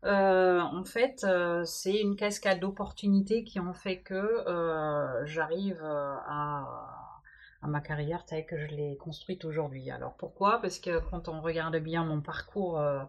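A German-born woman in her fifties with French as a second language, talking about her career in an interview, full of pauses and fillers. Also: low voice. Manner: hesitant, many fillers. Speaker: guest executive. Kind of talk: podcast interview.

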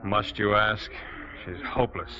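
An actor playing a cynical, dismissive theater colleague in 1940s radio style. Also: male voice, on the low side, fairly even intonation, a little slow, natural voice. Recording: muffled 1940s radio broadcast.

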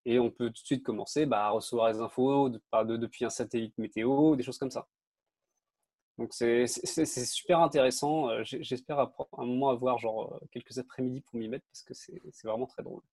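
A man's voice: mid-range voice, steady tone, a little fast, darkish tone, no echo. A man explaining technology casually, in an enthusiastic and informal way. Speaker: podcast panelist.

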